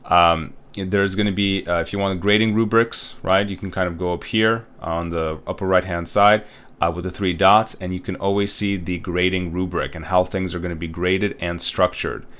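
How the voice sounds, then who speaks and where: A man's voice: low voice, steady tone, normal speed, a bit bright. A man in his thirties, a college instructor from Uzbekistan, walking students through an online course in a casual, rambling way, full of fillers and checking-in tags. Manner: casual, rambling, with many fillers and tag questions. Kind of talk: recorded online course walkthrough.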